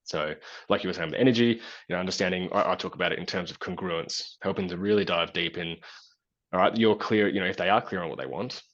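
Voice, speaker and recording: Man, 30s, deep-voiced interview guest on a video call, reflective and hesitant, muffled audio. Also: quick pace, a bit bright. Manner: thoughtful, many fillers and restarts. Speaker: guest, business founder. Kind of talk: relaxed conversational interview. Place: small plain room indoors.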